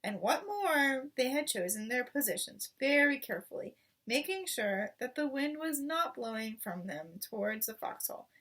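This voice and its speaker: A woman reading a children's story aloud in expressive narration, recorded at some distance from the microphone. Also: sits high, normal speed. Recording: voice distant from the mic.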